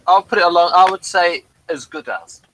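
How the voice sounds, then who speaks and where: A man's voice: sits high, normal speed, fairly bright tone, poor audio. A man co-hosting a casual review chat, often stumbling and repeating words as he starts a thought. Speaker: podcast co-host.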